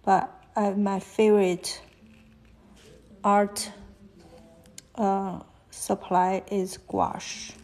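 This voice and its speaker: A woman with a Chinese accent, whose first language is Chinese, talks haltingly while she draws fashion illustrations on a live stream. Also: mid-range voice, little pitch movement, darkish tone. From China. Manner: halting, thinking aloud while drawing. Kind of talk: live-stream drawing commentary. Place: at a desk, drawing on paper.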